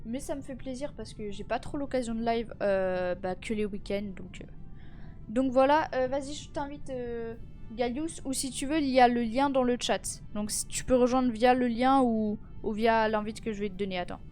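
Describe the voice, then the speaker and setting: A woman's voice: fairly high pitch, moderate pace, a little mellow, soft background music. A teenage girl streaming live, chatting casually with viewers; she sounds tired and stuffy from a cold, with lots of fillers and restarts. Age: teens.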